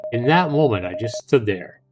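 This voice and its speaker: A man's voice: mid-range voice, lively intonation, normal speed, over music. A middle-aged man hosting a livestream, reading a visual novel aloud with casual asides to viewers and occasional stumbles over the text. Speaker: livestream host.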